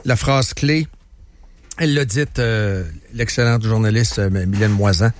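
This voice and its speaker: A man with a Québécois French accent hosting a talk radio show, joking with guests and slowing down to stress his points. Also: on the low side, slight background noise. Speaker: radio host. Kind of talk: casual talk radio banter. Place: radio studio.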